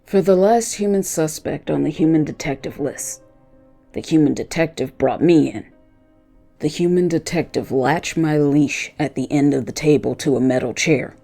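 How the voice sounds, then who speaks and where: A loyal, vengeful dog voiced by a narrator tells his story in the first person. The voice is soft-edged and gravelly, with deliberate dramatic phrasing over faint music. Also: mid-range voice. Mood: recounting.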